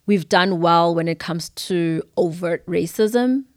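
A woman's voice: lowish voice, takes it slowly, no room sound, studio quality. A young adult woman from Zimbabwe with a Zimbabwean accent, talking thoughtfully as a podcast guest. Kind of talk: casual conversation.

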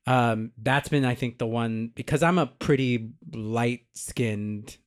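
A man's voice: mid-range voice, slow. Reflective man co-host, many fillers. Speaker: podcast co-host. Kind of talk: casual podcast conversation.

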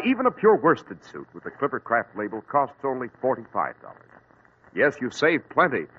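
A man reading a radio advertisement in an emphatic, polished sales manner. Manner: smooth, emphatic sales pitch. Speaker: radio announcer. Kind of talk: old radio ad read. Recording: tinny old radio with faint hiss.

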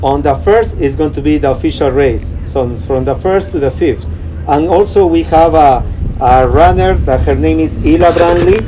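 Peruvian guy chatting outside by the beach, kinda high voice, cars going by. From Peru. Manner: halting, searching for words. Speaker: guest, runner. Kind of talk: casual interview. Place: outdoors by the beach. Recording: outdoor noise, passing traffic.

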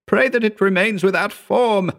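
A man voicing a hysterical, terrified man who gibbers and sobs in a shrill, quavering voice. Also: normal speed. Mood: gibbering. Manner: gibbering, frantic.

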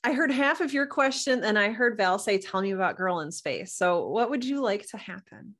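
A woman's voice: medium pitch, expressive, moderate pace, fairly bright tone. A woman chatting casually as a podcast guest, explaining things with enthusiasm. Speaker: podcast guest, writer and audio drama producer. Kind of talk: casual interview chat.

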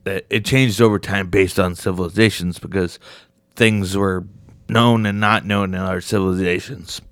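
Deep hoarse guy, halting chatter on a podcast, dry mic. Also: steady tone, no echo. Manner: halting, with fillers. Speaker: podcast co-host. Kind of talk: casual chat.

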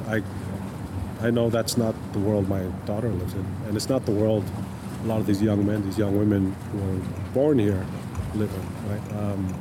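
Thoughtful Tongan-born man in his thirties, low voice. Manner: thoughtful, searching, many restarts. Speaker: artist and guest. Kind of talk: reflective conversational interview. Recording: noisy background.

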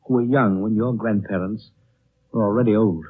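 A dreamy, world-weary gentleman in a 1930s radio play. He speaks solemnly and with careful weight, slightly husky, through a thin phone-like broadcast. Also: on the low side, steady tone. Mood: hushed, insistent. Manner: slow-weighted, solemn, measured.

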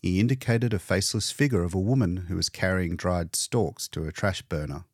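A man narrating a short story as an audiobook reader, in an expressive scripted style. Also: low voice, brisk, dry recording, clean studio sound.

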